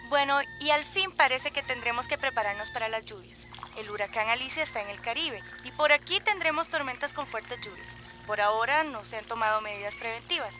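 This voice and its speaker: An actress playing a radio announcer reading a storm bulletin. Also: female voice, on the high side, brisk, music in the background, close to an everyday voice.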